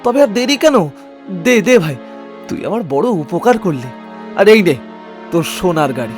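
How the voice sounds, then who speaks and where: A man playing a poor, honest, simple-hearted cart puller in an animated moral story, speaking in an earnest, plaintive, folksy way. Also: high-pitched, normal speed, soft background music. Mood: grateful.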